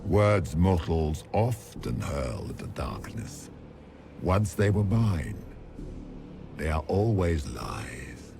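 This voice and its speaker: A super deep ancient monster villain, slow n pompous, game cutscene w loud music. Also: male voice, sing-song, gravelly, full character voice. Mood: grand menace. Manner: pompous, grandiose, slow deliberate oratory. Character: ancient monstrous villain, arrogant would-be god, commanding and menacing. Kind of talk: theatrical video game villain acting.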